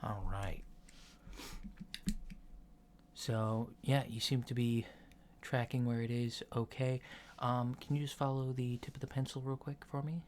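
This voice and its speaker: A soft-spoken man doing an ASMR roleplay as a calm, hesitant alien doctor, matter-of-fact and clinical. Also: mid-range voice, moderate pace. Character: calm, mildly awkward alien doctor giving a checkup. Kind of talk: ASMR medical checkup roleplay. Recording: lo-fi home recording with background noise.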